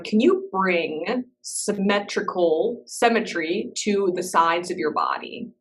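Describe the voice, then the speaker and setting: A woman leading a guided meditation in a soft, slow, reassuring way, with gentle pauses between phrases. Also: mid-range voice, distant mic, low-quality recording.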